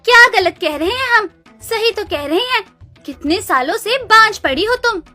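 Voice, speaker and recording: A woman's voice: extremely high pitch, animated, moderate pace, bright, forceful tone, full character voice. A woman voicing a spiteful younger sister cow in a cartoon story, mocking and scornful. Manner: taunting, scornful. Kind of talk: Hindi animated moral story dialogue. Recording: music behind the voice.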